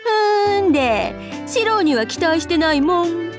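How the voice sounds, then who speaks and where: A cheerful big-sister schoolteacher from a visual novel, whining playfully like a kid. Her voice is extremely high and swoops about at a brisk clip, with music playing clearly behind it. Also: lively intonation. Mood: huffy. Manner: whiny, playful, exaggerated. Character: childish, hungry, cheerful female teacher and big-sister figure. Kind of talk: Japanese visual novel voice acting.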